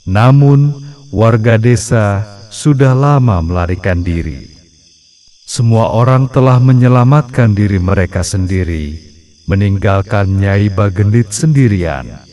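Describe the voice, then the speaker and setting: A man narrating a scripted folktale in a measured, dramatic storybook manner. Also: on the low side, warm tone.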